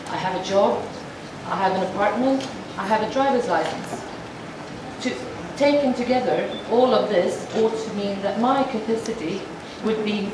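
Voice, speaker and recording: A woman voicing a formal speech to an assembly as a sign language interpreter, measured and halting, with frequent restarts. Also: medium pitch. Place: large assembly hall. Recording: echoey hall from far off, noisy.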